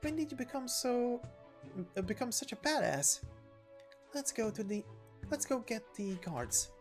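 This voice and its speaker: A woman's voice: on the high side, expressive, a little slow. A woman doing a scripted character reading of a game story, stumbling and restarting over some lines. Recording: music behind the voice. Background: music.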